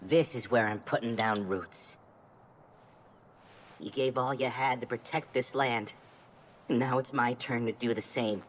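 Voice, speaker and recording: A man's voice: medium pitch, a little slow, long pauses, slight background noise, natural voice. A man acting a loyal young adventurer in a video game cutscene, speaking earnestly and plainly. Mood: resolved, tender.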